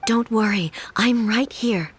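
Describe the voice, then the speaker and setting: A woman playing a composed, godly female shogun in a video game, speaking in a measured, formal, solemn way. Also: fairly high pitch, slight background noise, natural voice. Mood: calm, reassuring. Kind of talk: video game character acting.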